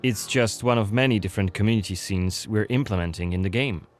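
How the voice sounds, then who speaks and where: A man with a fairly low voice gives scripted narration as a game presenter. Faint music plays behind him. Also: brisk.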